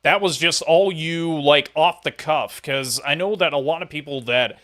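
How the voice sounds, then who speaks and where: A man's voice: fairly high pitch, normal speed, sharp tone. A man interviewing someone casually, phrasing his questions and remarks in a loose, rambling way. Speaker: podcast interviewer.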